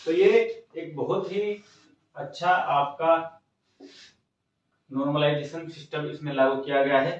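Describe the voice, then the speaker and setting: A man's voice: a little high, fairly even intonation, measured pace, long pauses. A man giving an informal, instructive explainer to students, repeating phrases for emphasis. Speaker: exam coaching host. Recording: very echoey room, distant mic, dull sound.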